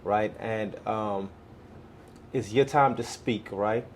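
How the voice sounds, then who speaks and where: A man with a Bahamian accent hosting a podcast interview in a relaxed way, often repeating the guest's words back. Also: on the low side, slow pace, slight background noise. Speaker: podcast host.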